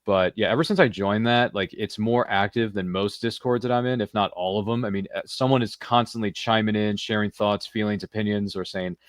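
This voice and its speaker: Male podcast host, rapid delivery, dry close sound. Casual, buddy-like banter with a jokey streak. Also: lowish voice, fairly even intonation, no echo. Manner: chummy, jokey.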